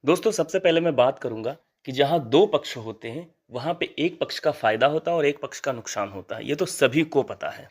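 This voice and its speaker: A man's voice: medium pitch, lively intonation, a little fast, hardly any pauses. Man giving an earnest informal update. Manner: earnest, advisory, repeats points for emphasis. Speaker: exam update channel host. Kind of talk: informal explainer addressed to viewers.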